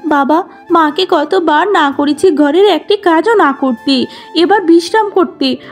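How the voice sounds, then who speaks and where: A woman's voice: very high-pitched, unhurried, soft background music. A woman voicing a sweet, devoted sixteen-year-old village girl in a cartoon fairy tale, earnest and pleading. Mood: playful insistence.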